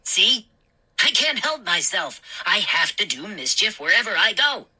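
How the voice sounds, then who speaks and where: A woman's voice: mid-range voice, sing-song, measured pace, pushed and bright, breathy edge, voice pushed up, full character voice. A woman voicing a small, mischievous male gnome who talks earnestly and insistently.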